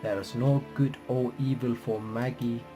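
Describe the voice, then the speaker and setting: A man's voice: mid-range voice, fairly even intonation. A man with a mid-range voice reads story text aloud for a game playthrough. He stumbles over words, corrects himself and drops in his own remarks, with music playing behind him. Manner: halting reading with stumbles and self-corrections. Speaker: gaming reaction streamer. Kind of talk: reading visual novel text aloud with commentary.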